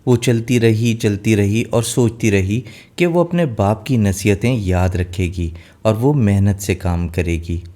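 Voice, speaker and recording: A man's voice: on the low side, a little slow, warm tone. A man narrating a scripted fairy tale as a storyteller.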